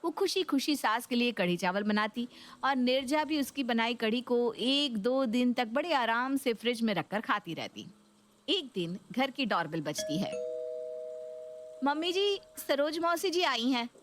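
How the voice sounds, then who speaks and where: A woman narrates a scripted story. Her voice sits a little high as she tells it as the narrator. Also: expressive, normal speed.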